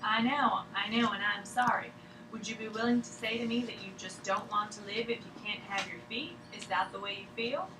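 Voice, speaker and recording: A woman's voice: mid-range voice, brisk, lightly acted. A woman acting a patient, deferential official who keeps questioning a sick patient in a drama table read. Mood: gently pressing. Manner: polite, deferential, insistent. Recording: echoey room, distant mic, noisy background.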